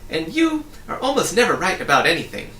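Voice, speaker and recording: A man's voice: high voice, wildly swooping pitch, fairly quick, pushed and bright, echoey room, distant mic, slight background noise, pitched above the performer's own voice, heavily acted, rough recording. A man voicing a breezy, opinionated young boy in a storybook, explaining things in a chatty, matter-of-fact way. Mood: blunt. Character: breezy, opinionated young boy who floats in mid-air.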